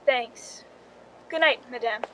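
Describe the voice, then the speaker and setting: Casting: actress as scheming jealous woman, high voice, confessional suspense narration for radio drama, slightly noisy recording. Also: takes it slowly, a bit bright. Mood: polite.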